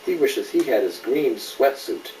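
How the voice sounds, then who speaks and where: Animated man reading a storybook, distant roomy recording. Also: mid-range voice, measured pace, slight background noise. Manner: animated, checks in with questions. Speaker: father reading a storybook aloud to a child. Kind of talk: bedtime story reading with asides to a child.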